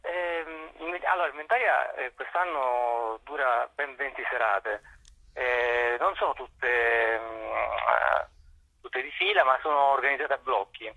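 Very sharp, bright man, halting. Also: mid-range voice, creaky, dry recording, poor audio. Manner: hesitant, halting, searching for words. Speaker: theatre festival director, interview guest. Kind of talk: unscripted interview answers. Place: radio interview.

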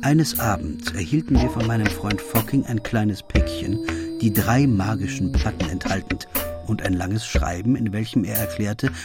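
An actor playing a detective's loyal, puzzled companion, narrating the case in a measured, literary radio play style. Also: male voice, lowish voice, loud music behind the voice, natural voice. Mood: measured, reflective.

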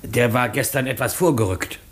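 An actor playing a dutiful, formal butler who answers precisely, in a 1950s radio play. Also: male voice, mid-range voice, moderate pace, fairly bright tone, natural voice. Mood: earnest, deferential. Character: dutiful, deferential male household butler, sure of details.

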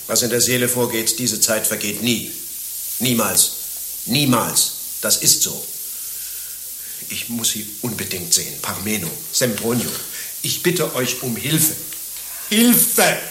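A lovesick, desperate young man in radio satire. The voice is lowish and swings dramatically, pleading and naive. It sounds roomy and slightly hissy. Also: lively intonation, slow pace, pauses often, bright, forceful tone, full character voice. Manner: pleading, melodramatic, repetitive. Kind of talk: satirical German radio play acting. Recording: roomy, with faint hiss.

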